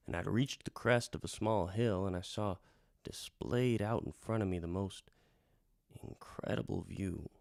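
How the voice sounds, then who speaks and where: A man telling a fantasy tale as a folksy bard, in a florid, theatrical manner with hushed, dramatic emphasis. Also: deep voice, gravelly, dry recording, clean studio sound. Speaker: host, storytelling bard. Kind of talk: theatrical first-person fantasy storytelling, radio drama.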